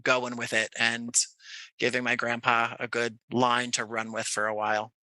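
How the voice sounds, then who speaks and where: A man's voice: medium pitch, completely flat delivery, moderate pace, bright, forceful tone, no echo, rough recording. An American man from Jersey City talking reflectively as an interview guest, in a conversational, wordy way that often doubles back on itself. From Jersey City, United States. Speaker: podcast guest, audio playwright.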